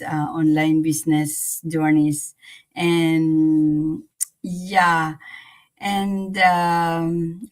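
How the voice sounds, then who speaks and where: Slow-talking Filipino woman livestreamer. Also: on the low side. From Philippines. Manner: halting, repeats phrases. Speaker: livestream host. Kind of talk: casual livestream chat and reflection.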